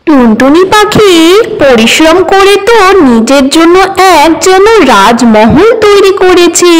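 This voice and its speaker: A woman narrates a children's cartoon fable in a high voice. She reads the scripted story at a very slow, measured pace. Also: poor audio.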